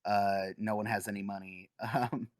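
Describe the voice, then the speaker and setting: A man hosting a podcast in a relaxed, conversational way, often trailing off quietly. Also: fairly low pitch, moderate pace, dry recording. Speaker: podcast host. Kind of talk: casual podcast chat.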